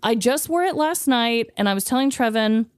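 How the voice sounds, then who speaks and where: A woman co-hosting a podcast in casual, comedic chat, playful and expressive. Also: fairly high pitch, normal speed, clean tone, no room sound, studio quality. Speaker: podcast co-host.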